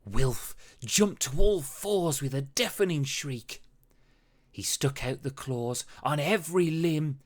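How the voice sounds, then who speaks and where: A storybook narrator reads rhyming verse for children. The delivery is unhurried and full of dramatic swings, with expressive phrasing throughout. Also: medium pitch. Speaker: storybook reader. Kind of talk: children's read-aloud narration in rhyme.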